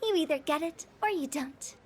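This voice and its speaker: A woman's voice: sits high, normal speed, slight background noise, close to an everyday voice. A woman playing a quirky, self-assured, mischievous teenage girl in a video game, playful and teasing. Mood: playful, smug. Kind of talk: video game character dialogue.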